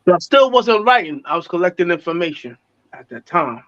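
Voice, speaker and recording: An American man being interviewed, telling his life story casually in animated street slang, repeating phrases for emphasis. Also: sits high, a little fast, no echo, highs cut off.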